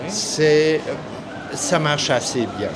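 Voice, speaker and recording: Slow-spoken older Swiss German man. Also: fairly high pitch, animated, a crowd in the background. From Switzerland. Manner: hesitant, searching for words. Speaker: passer-by being interviewed. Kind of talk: spontaneous street interview. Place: outdoors on a city street. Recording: noisy outdoor street with traffic.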